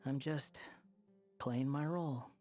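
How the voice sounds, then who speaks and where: A man's voice: mid-range voice, takes it slowly, a little mellow, lightly acted. Man playing an aloof, sardonic young game wanderer. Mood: guarded, wry. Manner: dry, dismissive, wry, sighing. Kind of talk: anime video game voice acting. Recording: faint music behind the voice.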